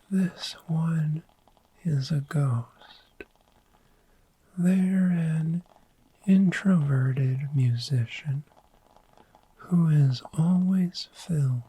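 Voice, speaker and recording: A woman voicing a friendly, gentle, sleep-loving dragon in a soft-spoken ASMR roleplay, whispering soothingly and lingering over repeated words. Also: sits low, full character voice, rough recording. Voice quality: breathy whisper.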